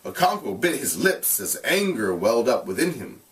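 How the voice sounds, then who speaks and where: A man reading aloud like a teacher, in an expressive narrating style with deliberate, explanatory delivery. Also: mid-range voice, recorded from a distance, poor audio.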